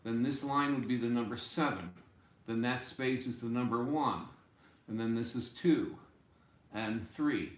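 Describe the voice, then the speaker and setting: A man in his sixties giving an informal music lesson, explaining deliberately and step by step in a small room. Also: mid-range voice. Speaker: music teacher. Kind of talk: informal instructional explanation at a whiteboard. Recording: roomy, echoey home room sound.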